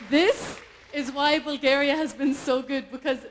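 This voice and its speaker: An Irish woman with a high, bright voice performs stand-up comedy on a live stage. She is animated and self-mocking, and she breaks into laughter often. A faint hiss runs under her voice. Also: a little slow, crowd noise. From Ireland. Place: live event stage before an audience.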